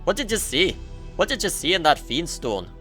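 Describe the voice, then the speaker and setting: Feisty protective young woman, acted by a man, raised pitch, blunt, folksy, fantasy game storytelling. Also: sing-song, normal speed, pushed and bright, soft background music. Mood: alarmed. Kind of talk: dramatic reading of video game prose.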